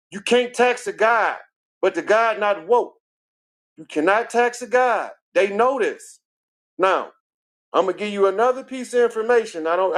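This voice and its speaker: An American man speaking as a guest, lecturing conversationally in an emphatic, declarative manner. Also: sits high. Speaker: interview guest. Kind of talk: conversational spiritual history lecture.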